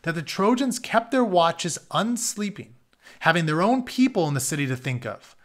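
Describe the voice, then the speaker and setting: A man's voice: sits high, expressive, moderate pace. A man in his thirties reading a story aloud in a home office, with informal asides that explain things in plain terms. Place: carpeted home office, indoors.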